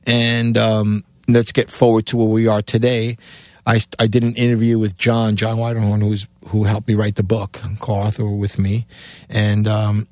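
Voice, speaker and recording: A man's voice: fairly low pitch, monotone, moderate pace, a little mellow, no echo. A middle-aged man from Cuba with a Cuban accent, telling rambling stories as an interview guest and acting out what people said. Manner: rambling, acts out quoted dialogue. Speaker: guest musician. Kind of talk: casual storytelling interview.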